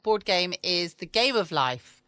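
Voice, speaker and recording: A woman's voice: fairly low pitch, animated, unhurried, bright, forceful tone, smooth, no echo. An English woman hosting a bedtime podcast from her home, rambling in a chatty, friendly way. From England. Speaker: podcast host. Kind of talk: casual chat.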